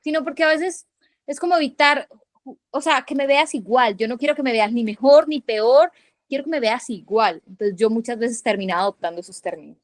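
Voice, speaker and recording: A woman's voice: a little high, no room sound. A woman co-hosting a podcast in casual chat, talking in long chatty runs full of fillers and asides, originally from Latin America. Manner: chatty, many fillers like "o sea", quick asides. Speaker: podcast co-host.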